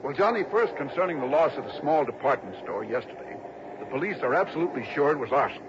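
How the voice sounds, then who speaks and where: A man's voice: mid-range voice, lively intonation, quick pace, close to an everyday voice. An actor playing a genial, talkative middle-aged American businessman in an early 1960s radio drama, with a hearty manner. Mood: earnest, concerned. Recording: noisy, dull vintage radio recording.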